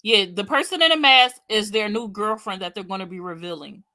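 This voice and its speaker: A woman's voice: medium pitch, brisk, sharp tone. A woman co-hosting a live stream, reading comments and putting questions to the viewers in a casual, inquisitive way. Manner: inquisitive, poses questions to the chat. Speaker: livestream co-host reading comments.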